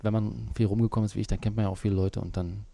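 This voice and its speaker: A man's voice: fairly low pitch, level tone, very fast, creaky, mellow. A man as the interview guest, a small business owner, talking through his work in a hesitant way with many fillers. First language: German. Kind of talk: conversational interview.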